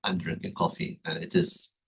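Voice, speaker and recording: A man in his thirties from Egypt with an Egyptian Arabic accent, a native Arabic speaker, gives a recorded online class introduction as a university writing instructor, talking carefully with many pauses and fillers. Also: low voice, very animated, gravelly, a bit bright, breathy edge. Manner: hesitant, many fillers, careful explanatory delivery. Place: home, late at night.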